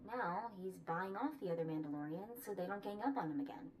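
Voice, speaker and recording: An actress playing a brisk, confident handler in a video game, briefing in a matter-of-fact way. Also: female voice, on the low side, animated, a little mellow, natural voice. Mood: businesslike. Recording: slightly distant, faint background noise.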